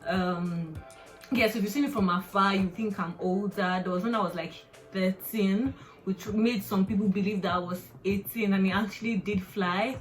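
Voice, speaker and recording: Young Nigerian woman, mid-pitched, rambling chatty vlogger, often laughing; faint music underneath. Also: steady tone, moderate pace, rough recording. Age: 20s. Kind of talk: casual personal vlog.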